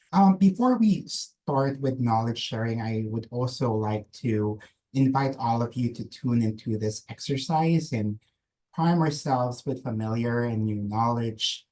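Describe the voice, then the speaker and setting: A man whose first language is Filipino speaks in a mellow, relaxed tone. He leads a webinar audience through a calm grounding exercise at a measured, invitational pace. He is heard through a computer mic on a video call. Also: mid-range voice, poor audio. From Philippines. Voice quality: slow, measured, gentle invitational delivery. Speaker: guest speaker, nurse practitioner.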